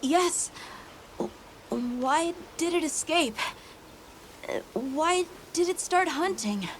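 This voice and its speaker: A woman's voice: very high voice, animated, takes it slowly, exaggerated voice. An actress playing an earnest, troubled young village boy in a video game cutscene, hesitant in how they speak. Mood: anxious, pleading. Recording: noisy ambience behind the voice.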